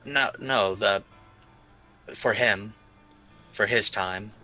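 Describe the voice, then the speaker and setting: A man's voice: fairly low pitch, drawn-out words, pushed and bright, soft background music, poor audio. A man chatting and roleplaying casually as a player in a tabletop game.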